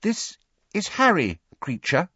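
A man voicing an earnest, gentle teenage girl in a storybook, tentative and pleading. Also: high voice, wildly swooping pitch, slow pace, breathy edge, no room sound, lo-fi sound, pitched above the performer's own voice, exaggerated voice.

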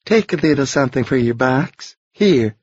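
A man's voice: medium pitch, lively intonation, moderate pace, a little mellow, slightly rough, no room sound, muffled recording, pitched above the performer's own voice. A man reading an audiobook voices a toothless old countrywoman who talks in a folksy old-fashioned dialect. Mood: insistent. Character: old toothless rustic tomato woman, wheedling.

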